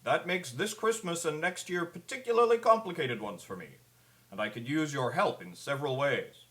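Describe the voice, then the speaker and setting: Man playing an animated, earnest old Santa Claus. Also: a little high, normal speed. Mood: earnest, kindly. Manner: earnest, pleading storyteller delivery. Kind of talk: scripted public address.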